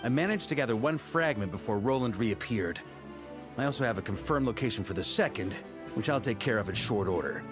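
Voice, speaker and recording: An actor playing a seasoned, confident knight commander laying out a plan. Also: male voice, mid-range voice, fairly quick, lightly acted. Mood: commanding. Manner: steady, assured, commanding. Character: seasoned male knight commander, calm planner. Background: game music.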